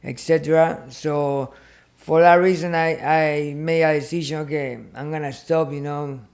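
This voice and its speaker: A man in his thirties from Argentina with an Argentine Spanish accent, a boxer and podcast guest telling his story in halting second-language English full of "you know". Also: on the high side, steady tone, darkish tone. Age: 30s. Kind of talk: casual podcast interview. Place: podcast studio.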